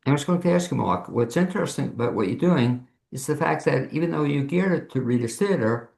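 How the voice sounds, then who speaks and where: A man's voice: on the high side, lively intonation, brisk, creaky, a little mellow. A man hosting a conversational interview show over a video call from an echoey room. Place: home office studio, on a video call.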